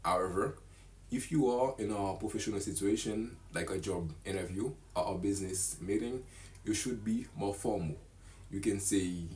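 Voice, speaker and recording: A man's voice: low voice, a little mellow. A young man, carefully teaching a beginner English lesson to camera in a small room. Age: young adult. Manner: slow, careful, deliberate delivery. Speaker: English teacher, channel host.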